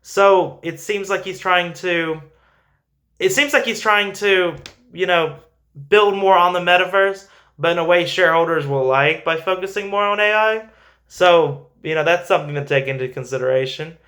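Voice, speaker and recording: A man's voice: high voice, normal speed, fairly bright tone. A man hosting a finance show, giving informal, emphatic stock commentary and repeating phrases for stress.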